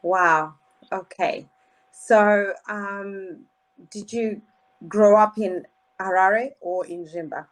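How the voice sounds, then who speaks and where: A woman's voice: mid-range voice, fairly even intonation, slow, low-quality recording. A woman hosting a radio interview show, questioning her guest with emphatic rises on key words.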